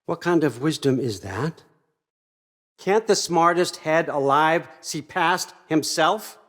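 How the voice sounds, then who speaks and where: A man's voice: a little high, animated, takes it slowly, a bit bright. An older American man acting in a video game as a scheming, controlling old father god, wry, folksy and conversational as he manipulates. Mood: taunting.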